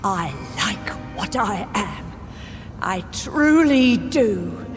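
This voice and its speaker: A slow, sinister undead woman. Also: mid-range voice, lively intonation. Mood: bitter relish. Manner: taunting, savouring, theatrical. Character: frail, bitter, gleefully sinister undead woman. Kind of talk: dramatic video game acting. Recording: long hall reverb, loud music behind.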